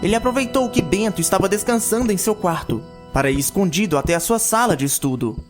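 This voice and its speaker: A man's voice: high voice, expressive, fast. A man narrating a scripted fantasy audio drama in a dramatic storytelling style. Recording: background music.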